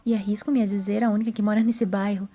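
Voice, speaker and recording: A woman's voice: medium pitch, little pitch movement, fast, dark tone, faint music behind, natural voice. A woman voicing a prim, self-assured young lady in an audio story, polite and matter-of-fact. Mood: surprised, matter-of-fact. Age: young adult. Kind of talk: audio drama character voice.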